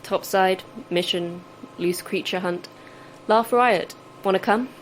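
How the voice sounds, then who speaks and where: An actress playing a terse, sarcastic manager of a secret facility in an audio drama, with a dry, curt delivery. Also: female voice, mid-range voice, slow, slight background noise, natural voice, rough recording. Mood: wry. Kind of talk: audio fiction acting.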